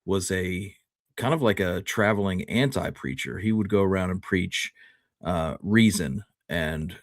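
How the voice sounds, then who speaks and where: A man co-hosting a casual history discussion, speaking in a reflective, measured way and qualifying his opinions. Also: low-pitched, expressive, no room sound, clean studio sound. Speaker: co-host of a history talk show.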